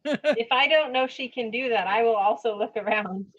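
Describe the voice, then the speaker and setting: A woman banters casually during a tabletop game as one of the players. Her voice is fairly high, and the sound is a little dull and lo-fi. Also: normal speed, noisy background. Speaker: player and co-host.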